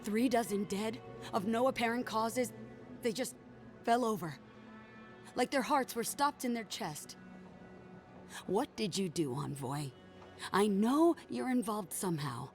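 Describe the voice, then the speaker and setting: A woman's voice: a little high, animated, a little slow, long pauses, soft background music. A woman playing a grieving, unforgiving accuser in a game cutscene, solemn and bitter in manner. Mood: grim, accusing. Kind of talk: dramatic game cutscene acting.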